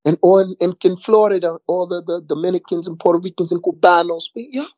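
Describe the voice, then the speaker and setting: A man's voice: high-pitched, steady tone, fast talker, darkish tone, no echo, lo-fi sound. A middle-aged man, talking casually in a rambling way, repeating words and saying "you know" a lot. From New York. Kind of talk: casual conversation.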